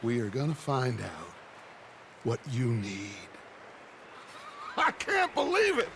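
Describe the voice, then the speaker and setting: An actor voicing a grandiose, middle-aged American outlaw gang leader in a Western video game, with a commanding, theatrical way of speaking. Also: male voice, medium pitch, wildly swooping pitch, slow, background noise, exaggerated voice. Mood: menacing, theatrical.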